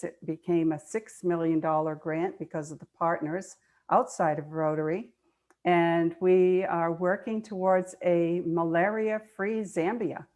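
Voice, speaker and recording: A woman's voice: on the low side, a little mellow. An older woman speaking conversationally as a guest in an online meeting, explaining things earnestly and repeating words for emphasis. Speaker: guest speaker, nonprofit foundation trustee.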